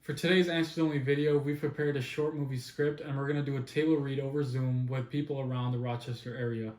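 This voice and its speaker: A man's voice: medium pitch, little pitch movement, fast, darkish tone. A man presenting and reading scripted narration for a script read-through. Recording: roomy echo.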